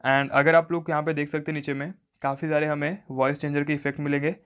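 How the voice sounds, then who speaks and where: A man's voice: a little high, flat delivery, fast, fairly bright tone. A man giving an instructional phone tutorial voice-over, often repeating stock phrases. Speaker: tech tutorial host.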